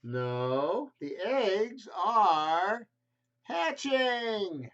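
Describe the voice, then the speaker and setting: Give me a man playing a cheerful little pig, calm and teasing, slow and singsong. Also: high-pitched, pitched above the performer's own voice, full character voice. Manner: upbeat, patient, teasing. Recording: slightly muffled home video audio.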